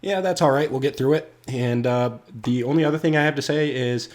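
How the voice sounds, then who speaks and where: A man's voice: medium pitch, a little fast. A man hosting a game build series, talking casually with a stuffy, congested voice and lots of fillers. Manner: rambling, filler-heavy, friendly address to viewers. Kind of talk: casual gameplay commentary.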